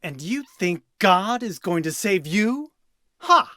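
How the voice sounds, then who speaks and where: A man's voice: high-pitched, big pitch swings, slow, pushed and bright, dry recording, clean studio sound, full character voice. An actor playing a smug, mocking male priest in a video game cutscene, speaking with sneering, teasing questions. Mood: scornful. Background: music.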